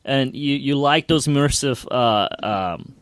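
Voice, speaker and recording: A man's voice: mid-range voice, unhurried, fairly bright tone, clean tone, no echo, studio quality. A man chatting casually as a podcast co-host, enthusiastically recounting an experience in a rambling way, often repeating his words.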